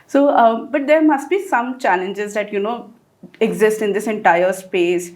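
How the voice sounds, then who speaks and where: A woman conducts an interview, giving encouraging short replies and asking polite, measured questions. Her voice sits in a middling pitch. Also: darkish tone.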